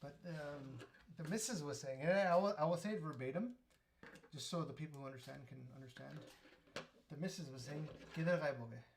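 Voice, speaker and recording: A man's voice: a little high, brisk. A young man co-hosting a live building stream, chatting casually and teasingly, often restarting his sentences. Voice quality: joking, teasing, trailing off into restarts. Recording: noisy live-stream audio.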